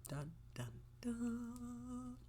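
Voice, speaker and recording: A woman's voice: on the high side, lively intonation, drawn-out words, mellow, a little hiss or hum. A woman co-hosting a book podcast in casual chat, laughing often and trailing off mid-sentence. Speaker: podcast co-host.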